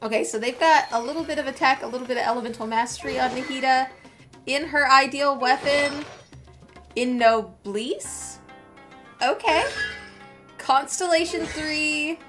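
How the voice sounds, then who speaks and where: A woman's voice: high voice, normal speed, a bit bright. A woman streamer giving casual, enthusiastic live game commentary and chatting to her viewers. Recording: game music behind voice.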